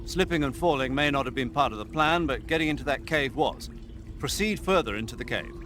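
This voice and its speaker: A man playing a commanding military officer in a video game, giving radio orders in a brisk, emphatic way. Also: mid-range voice, lively intonation, background noise, lightly acted. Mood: relieved, commanding.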